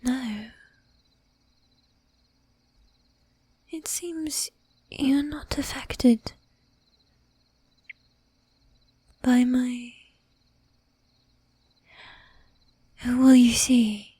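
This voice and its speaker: Woman playing a breathy, slow-whispering seductive vampire lady. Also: high voice, mellow, no room sound, exaggerated voice. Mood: haughty, puzzled. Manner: slow, teasing, commanding, with archaic polite phrasing. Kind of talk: whispered ASMR roleplay.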